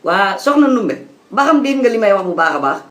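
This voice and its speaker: A man with a Senegalese accent voicing a pious, patient imam who lectures and mediates in a comedic cartoon, with animated, preachy delivery. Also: high voice, fairly quick, reverberant. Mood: earnest lecturing. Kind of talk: comedic cartoon dubbing in Wolof.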